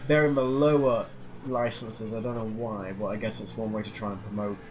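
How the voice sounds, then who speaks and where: A man's voice: on the low side, fairly even intonation, fairly quick. A man rambling hesitantly with lots of fillers as he drives a racing sim, his nose stuffy from a cold. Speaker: sim racing channel host. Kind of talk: casual rambling commentary while driving a racing sim. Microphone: off-center desk mic. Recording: clear sim engine noise behind. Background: racing game engine noise.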